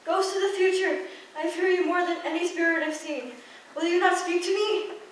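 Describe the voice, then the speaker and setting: Teen girl as repentant old miser, earnest and high-pitched, stage radio play, roomy distant sound. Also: fairly even intonation, moderate pace. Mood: anxious. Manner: earnest, pleading. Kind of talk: student radio play acting. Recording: echoey room, distant mic, faint noise.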